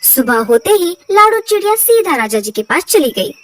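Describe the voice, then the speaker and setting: A woman's voice: very high voice, big pitch swings, normal speed, a bit bright, far from the mic. A woman reading scripted narration for a children's cartoon story.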